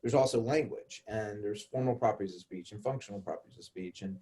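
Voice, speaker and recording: A man's voice: on the low side, fast talker, warm tone. A man hosting a casual panel discussion, upbeat and joking as he steers the talk. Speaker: podcast host.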